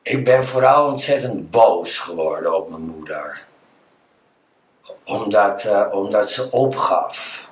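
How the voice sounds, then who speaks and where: A Dutch man talking as an interview guest, telling personal stories conversationally and halting as he searches for words. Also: on the low side, expressive. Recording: echoey, reverberant room.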